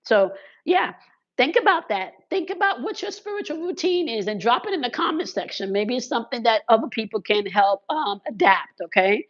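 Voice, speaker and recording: A woman with a hoarse, crackling voice from congested sinuses, talking casually to her viewers about spiritual routines and often checking in with "okay" and "right". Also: a little high, big pitch swings, moderate pace. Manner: repeats "okay" and "right" as check-ins, uses fillers. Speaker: spiritual content creator. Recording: slightly dull lo-fi sound.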